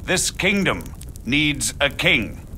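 An actor playing a middle-aged margrave in a video game, speaking formally and deliberately like a measured schemer. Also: male voice, a little high, big pitch swings, bright, forceful tone, a little hiss or hum, heavily acted. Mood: measured, stately. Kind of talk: video game cutscene acting.

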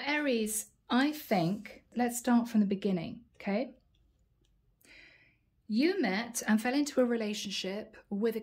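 A woman reading tarot cards at home and talking casually to her viewers, her voice a little hoarse from a long day. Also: mid-range voice. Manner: chatty, warm address to viewers, frequent "guys" and "okay". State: slightly hoarse, losing her voice. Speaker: tarot reader. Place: indoor home room, table with plants.

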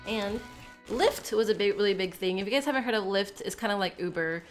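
A woman's voice: medium pitch, fairly quick, faint music behind. A sick young woman with a stuffy, nasal voice, chatting casually in a small room while unpacking a bag, rambling with lots of fillers. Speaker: vlogger. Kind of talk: casual unboxing chat.

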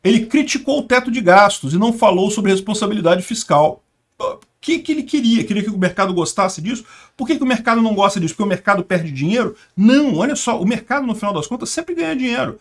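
High-voiced sarcastic Brazilian commentator man. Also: expressive, fast talker. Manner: sarcastic, emphatic, scornful asides. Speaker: political commentary host. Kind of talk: casual political commentary over screen share.

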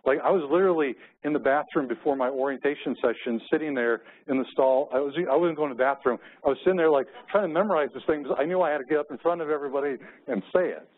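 A man's voice: fairly high pitch, fast talker, hardly any pauses. A middle-aged American man giving a conference keynote, telling personal anecdotes as a storyteller. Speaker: keynote speaker. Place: conference room with windows. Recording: muffled, phone-like narrow-band audio.

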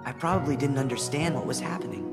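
Earnest wistful boy hero for a game, American, male actor; mid pitch, reverberant over music. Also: expressive, fast talker, close to an everyday voice. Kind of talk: video game cutscene acting.

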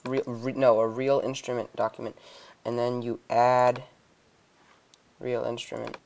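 A young man giving a casual software tutorial in a hesitant, improvised way. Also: mid-range voice, monotone, a little slow, creaky. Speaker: tutorial host. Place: indoors, voice-over on a computer screen recording.

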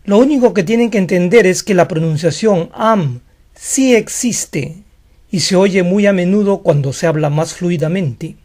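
A man whose first language is Spanish gives an online lesson in a frank, explanatory way. His voice sits high for a man. He defends his points plainly and directly. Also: unhurried, a little mellow. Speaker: English teacher.

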